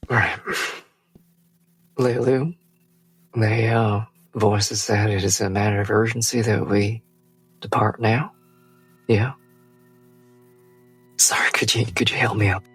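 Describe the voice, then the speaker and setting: A man playing a weary, weakened adventurer who speaks haltingly and politely in a husky voice. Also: lowish voice, fairly even intonation, fairly bright tone, faint music behind, heavily acted. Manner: halting, hesitant, slow.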